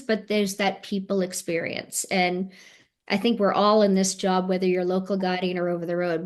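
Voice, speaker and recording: A woman speaking as a panelist on a video call, telling fond stories about her work as a tour director. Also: mid-range voice. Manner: earnest, fond storytelling with fillers. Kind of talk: casual webinar chat.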